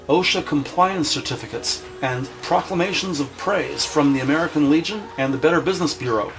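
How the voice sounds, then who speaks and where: A man's voice: fairly high pitch, low-quality recording. A man narrating a scripted police drama in a precise, descriptive manner. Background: music.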